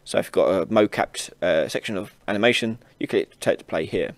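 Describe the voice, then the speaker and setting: A man hosting an informal software tutorial, casually explaining each step as he demonstrates it on a close mic. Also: on the low side, brisk, no echo. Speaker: tutorial host. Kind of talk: informal screen-recorded software tutorial narration.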